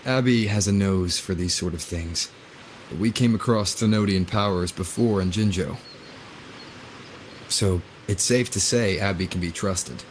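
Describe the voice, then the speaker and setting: An actor playing a calm, earnest young adventurer in a video game, talking in a reasoned, even-tempered way. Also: male voice, low-pitched, a little slow, a little hiss or hum. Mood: calm, reasoning. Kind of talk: video game character dialogue. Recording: game music behind dialogue. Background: music.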